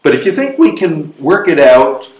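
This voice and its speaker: A man's voice: a little high, very animated, normal speed, a little mellow, highs cut off. A man playing a hesitant, fretful elderly widower in a readers theatre comedy, protesting and questioning. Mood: nervous, questioning. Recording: echoey live room recording.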